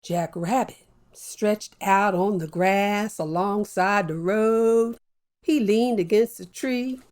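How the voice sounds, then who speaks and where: A woman hosting a children's story show, telling a folktale with a lively, playful delivery and drawn-out phrasing. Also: mid-range voice. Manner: warm animated storyteller with slow deliberate pacing. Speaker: storyteller host. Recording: soft music bed behind.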